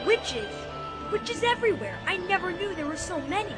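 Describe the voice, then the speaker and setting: Excitable, very high-voiced young boy. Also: moderate pace. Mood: amazed. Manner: breathless wonder, boyish exclamations. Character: excitable, wide-eyed young boy adventurer. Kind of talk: dramatized audiobook, radio theatre acting. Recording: loud music bed behind the voice.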